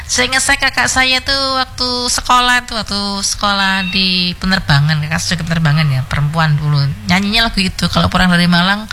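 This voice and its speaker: A woman's voice: mid-range voice, steady tone, a little fast, bright, forceful tone, a little hiss or hum. A middle-aged woman, hosting a casual, chatty radio call-in show. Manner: chatty, laughs often. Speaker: radio host.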